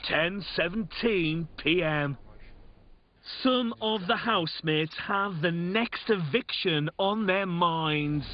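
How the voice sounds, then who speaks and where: A man's voice: high voice, slow, slight background noise. A man delivering scripted voice-over narration for a reality show. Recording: dull TV audio, music behind.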